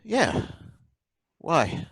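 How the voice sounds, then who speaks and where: An actor playing a dreamy, drawling inspector who is eerily calm, in an old-time radio drama. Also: male voice, very slow, dry recording.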